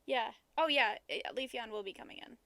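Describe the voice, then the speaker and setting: A woman co-hosts a laid-back podcast in a somewhat high voice. She hedges often and stumbles through ums and restarts while she explains. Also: brisk, bright, forceful tone, no echo. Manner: hesitant, hedging, many ums and restarts. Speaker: podcast co-host. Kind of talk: casual podcast chat.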